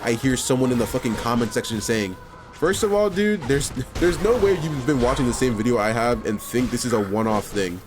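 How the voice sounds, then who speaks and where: A man's voice: mid-range voice, lively intonation, fairly quick. A man from New York hosting reaction commentary, casual and heartfelt, full of "like" and swearing. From New York, USA. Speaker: commentary channel host. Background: music.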